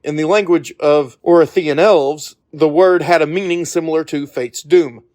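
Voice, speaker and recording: A man reading scripted fantasy audiobook narration in a measured storytelling manner. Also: a little high, darkish tone.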